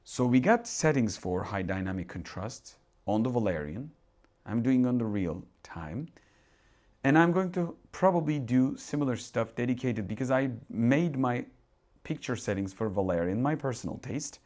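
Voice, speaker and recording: A man's voice: mid-range voice, animated, normal speed, darkish tone. Turkish-accented man demoing gear live. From Turkey. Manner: thinking aloud, restarts and asides while adjusting settings. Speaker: tech reviewer. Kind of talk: casual live product demo. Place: dark home cinema room.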